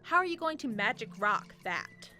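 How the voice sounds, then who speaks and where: A woman's voice: on the high side, very animated, normal speed, bright, forceful tone, soft background music. A woman playing a blunt, callous supervillain in a comedic radio play, dry and sarcastic. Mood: annoyed, sarcastic.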